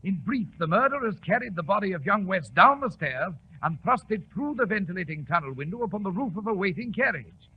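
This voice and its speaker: An actor playing an earnest, loyal, proper detective's sidekick in a formal, exclamatory 1940s radio drama style. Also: male voice, high voice, very animated, a little fast, hardly any pauses. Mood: curious, admiring. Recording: muffled, noisy 1940s radio recording.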